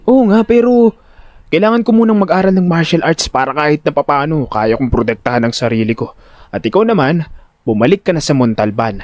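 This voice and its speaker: A man's voice: a little high, brisk, darkish tone, dry recording, close to an everyday voice. A man playing a dramatic, exasperated and easily frightened older brother in an audio drama. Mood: worried, bickering.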